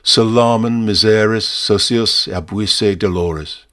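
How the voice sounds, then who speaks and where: A man voicing an ominous, courteous barman in a short story, speaking slowly and formally with deliberate, portentous solemnity. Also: fairly low pitch, fairly bright tone. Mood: calm, ominous.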